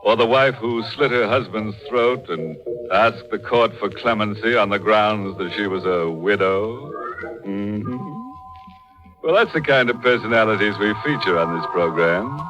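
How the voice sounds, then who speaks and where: An actor playing a gleefully macabre, mock-friendly horror host on a 1940s radio show, droll and drawn-out. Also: male voice, fairly low pitch, lo-fi sound. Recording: old radio recording with loud music. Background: loud music.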